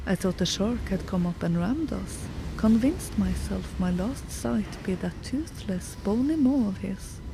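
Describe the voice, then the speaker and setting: A man's voice: high-pitched, expressive, measured pace, gravelly, few pauses, background noise, heavily acted. A woman voicing a young fisherman from Cork telling of surviving a storm at sea. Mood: shaken.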